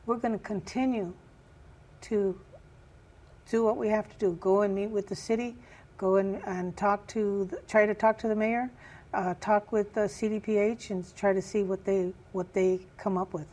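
A woman with a raspy voice, chair of a community group, answering interview questions earnestly with many pauses and fillers. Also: mid-range voice, fairly even intonation, a little mellow. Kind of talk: news interview guest.

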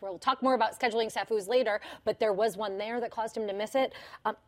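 A woman hosting a local news review program, reading headlines and then putting measured questions to a panel of journalists in a studio. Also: on the high side, fairly even intonation. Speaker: news show host and panel moderator. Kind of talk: broadcast news moderation.

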